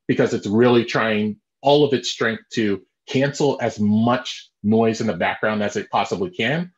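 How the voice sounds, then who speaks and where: A man with a deep baritone voice giving an instructional talk, explaining things step by step and repeating phrases as he thinks. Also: slightly rough. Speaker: presenter. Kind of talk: instructional talk on audio gear. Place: small bare room at home. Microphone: desktop condenser mic, then earbud headset. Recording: dull lo-fi earbud audio with echo.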